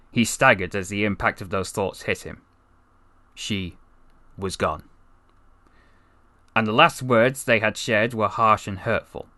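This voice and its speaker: A man reading a story as a voice actor, in a solemn, dramatic and deliberate narrating style. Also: lowish voice, pushed and bright, no echo.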